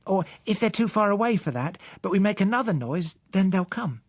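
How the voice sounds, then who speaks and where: A man's voice: high voice, expressive, a little fast, no echo, studio quality, voice pushed up. A man voicing an earnest, huffy young girl who explains things pointedly in an audiobook story. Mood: matter-of-fact. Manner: matter-of-fact, pointed explaining. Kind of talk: audiobook character acting.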